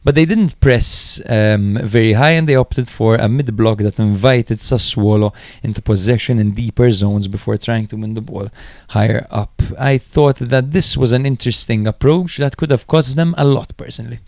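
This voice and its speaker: A man's voice: medium pitch, normal speed, hardly any pauses, darkish tone, dry recording, studio quality. A man co-hosting a podcast, recapping and analysing football matches in an informal, chatty way. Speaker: podcast host.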